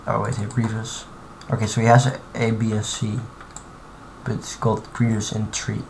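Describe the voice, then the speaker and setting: A man streaming live from his computer, talking through his modding in a halting, thinking-aloud way full of restarts. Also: on the low side, flat delivery, darkish tone. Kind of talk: casual live-stream commentary while modding. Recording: clear background noise and typing.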